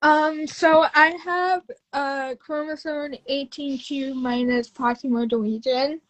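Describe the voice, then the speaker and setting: A woman in her twenties, a podcast guest telling her own story casually, often halting and repeating phrases. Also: sits high, fairly even intonation, rough recording. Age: 20s.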